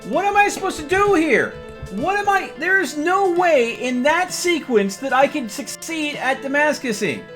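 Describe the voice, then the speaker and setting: A man's voice: extremely high pitch, animated, unhurried, a bit bright. A man doing casual live gaming commentary as a streamer, talking himself through each step with exclamations. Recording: music behind the voice. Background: music.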